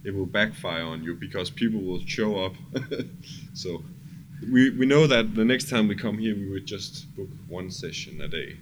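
A man's voice: fairly low pitch, animated, normal speed. A man from Denmark with a Danish accent, a music producer chatting casually as an interview guest. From Copenhagen, Denmark. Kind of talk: casual chat. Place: cramped studio. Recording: noisy studio background.

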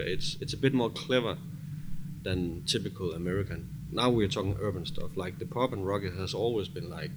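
A man talking casually and informally as a music producer being interviewed, with slightly non-native English phrasing. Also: lowish voice. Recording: clearly noisy background ambience.

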